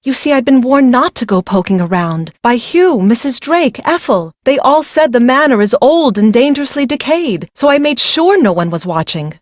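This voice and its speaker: A woman's voice: high voice, expressive, normal speed, few pauses, no echo, clean studio sound. A young woman playing a secretive, fearful young bride in a video game, speaking in a weary, brooding, dramatic way. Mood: agitated, fearful.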